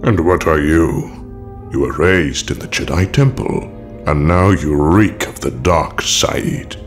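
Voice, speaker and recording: A sinister old dark lord, super low voice, unhurried n formal, menacing, audio drama. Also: male voice. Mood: grandiose, menacing. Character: elegant, sinister older male dark lord, coldly persuasive. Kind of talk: fan audio drama acting. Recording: music behind the voice.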